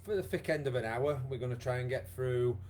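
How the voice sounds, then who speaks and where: A man with a croaky voice, leading a live online exercise class with many fillers. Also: medium pitch, fairly quick, darkish tone, slight background noise. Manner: chatty, encouraging, lots of fillers. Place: home room with wall and bar. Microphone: device camera, moves away at times.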